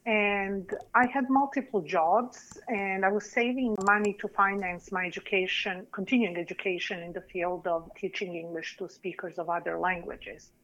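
A woman's voice: mid-range voice, a bit bright, highs cut off. A woman in her forties from Serbia, with a Serbian accent, telling her story in a careful, earnest podcast interview as a PhD candidate. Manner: measured, earnest, careful academic phrasing.

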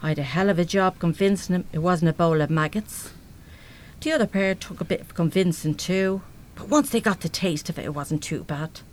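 A woman's voice: lowish voice, normal speed, a little mellow, slight background noise, lightly acted. An actress performs a middle-aged Irish mother in a radio play. She is brash and salty, with blunt, colloquial working-class speech and a big heart underneath. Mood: wry, grumbling. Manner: blunt, colloquial, mildly sweary. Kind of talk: live radio play acting.

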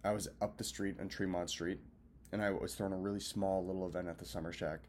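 Laid-back, low-voiced man in his twenties. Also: level tone, fast, a little mellow. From Massachusetts, US. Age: 20s. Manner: loose, profane, talks to viewers as friends. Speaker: filmmaker and event host vlogging. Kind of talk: casual rambling birthday check-in. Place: at a hotel.

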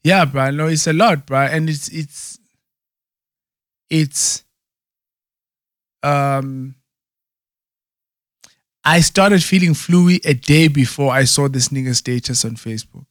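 A man with a somewhat high voice talks in a loose, rambling way. He stumbles through restarts and keeps asking "right?" to check he is followed. The sound is dry and close. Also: slow pace, pauses often, no echo. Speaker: conversation partner. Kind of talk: casual chat.

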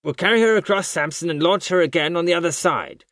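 A man voicing a gruff, relentless boat chief in a storybook, bellowing urgent commands. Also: fairly high pitch, fast, fairly bright tone, dry recording, studio quality, pitched above the performer's own voice, lightly acted. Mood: driving, insistent. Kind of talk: dramatic storybook character voice.

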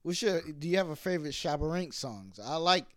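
Relaxed male podcast host, dry close sound. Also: a little high, brisk, no room sound. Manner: relaxed, chatty, laughs easily. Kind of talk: casual interview chat.

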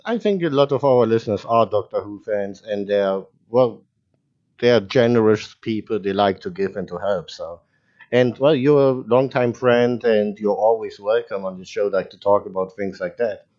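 A man's voice: lowish voice, moderate pace, a little mellow. A man hosting a podcast interview, speaking casually in hesitant, loosely built sentences on a dull lo-fi recording. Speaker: podcast host.

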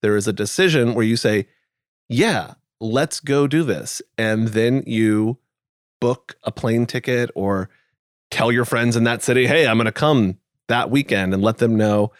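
A man hosting a podcast in casual chat, relaxed and thinking aloud with fillers. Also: mid-range voice, a little slow, no echo, clean studio sound. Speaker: podcast host.

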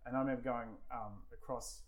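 Aussie guy in his 40s, rambling chat, lots of ums and swearing, mid pitch. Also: steady tone, fairly quick, rough recording. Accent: Australian. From Australia. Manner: rambling, swears freely, many fillers and restarts. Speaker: guest. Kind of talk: casual storytelling.

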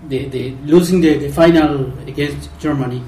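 Halting man, far from the mic. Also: on the high side, warm tone, echoey room, a little hiss or hum. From Argentina. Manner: halting, many fillers and restarts. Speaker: podcast guest. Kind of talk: casual football podcast chat.

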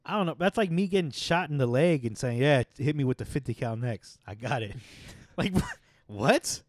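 A man's voice: medium pitch, big pitch swings, normal speed, no room sound, studio quality. A young man co-hosting a podcast, joking around in loose, casual banter, swearing now and then and laughing often. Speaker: podcast co-host.

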